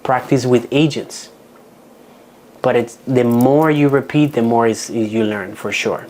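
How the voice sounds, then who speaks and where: A man's voice: medium pitch, measured pace, a little mellow. A man with a Dominican Spanish accent, Spanish his first language, giving a seminar on real estate deals in a frank, practical way with frequent restarts. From Dominican Republic. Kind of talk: seminar talk.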